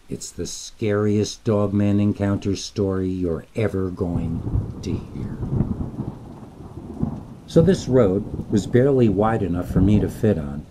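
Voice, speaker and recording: A man reading a scripted scary story as narrator, in a dramatic, deliberate storytelling manner. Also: lowish voice, mellow, slight background noise.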